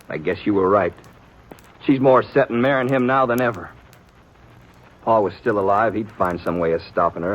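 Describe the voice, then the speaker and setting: A man's voice: low-pitched, lightly acted. An American man playing a hot-tempered, stubborn, aggrieved frontier brother in a 1950s radio western, with agitated rustic speech. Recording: muffled, faintly noisy old radio broadcast.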